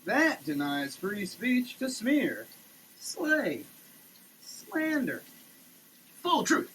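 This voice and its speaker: A person giving a dramatic, declamatory reading in a halting way, often repeating words. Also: medium pitch, big pitch swings, a bit bright. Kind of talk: dramatic, theatrical reading of a product label. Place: in a running shower. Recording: noisy wet shower recording.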